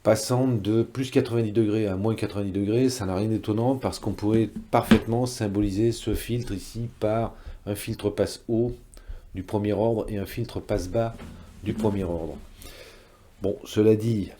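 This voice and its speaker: A man's voice: fairly low pitch, steady tone, moderate pace, dark tone, slight background noise. A man giving a technical tutorial in a careful, didactic way, rephrasing step by step as he teaches. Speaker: electronics teacher, presenter.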